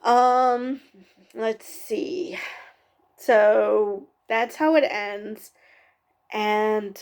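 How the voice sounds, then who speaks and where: A woman casually recapping a TV episode, halting often with ums and correcting herself mid-sentence. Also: fairly high pitch, a little mellow. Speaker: TV review vlogger.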